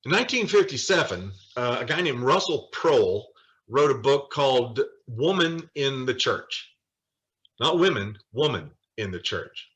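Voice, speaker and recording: Mature man, bright forceful voice, mid pitch, informal lecturer, animated storyteller, study leader on a video call. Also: unhurried. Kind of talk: informal lecture with personal anecdotes.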